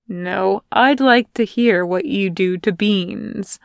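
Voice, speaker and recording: A woman voicing a steady, caring young woman in an audio fanfic reading, speaking calmly and plainly. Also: mid-range voice, takes it slowly, gravelly, no room sound, studio quality. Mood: wry. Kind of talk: podfic character voice.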